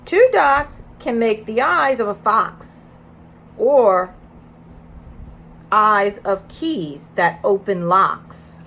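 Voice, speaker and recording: A woman's voice: mid-range voice, lively intonation, very slow, fairly bright tone, slight background noise. A woman educator giving a read-aloud lesson with teaching tips, speaking in an instructional way and with emphasis when reading. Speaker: early childhood educator.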